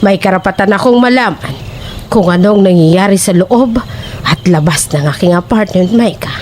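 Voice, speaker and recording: A woman's voice: medium pitch, normal speed, darkish tone, a little hiss or hum, close to an everyday voice. Woman acting as a menacing, insinuating nosy landlady. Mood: menacing, probing. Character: nosy, menacing landlady probing tenants' dark secrets. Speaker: voice actor in horror audio drama. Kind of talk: Tagalog horror audio drama acting.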